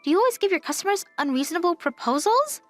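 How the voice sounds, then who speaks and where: A woman playing a kind, professional young travel agent in a manga dub, gently firm, polite and composed. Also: extremely high pitch, sing-song, fast, faint music behind. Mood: probing, firm. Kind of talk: anime-style manga dub acting.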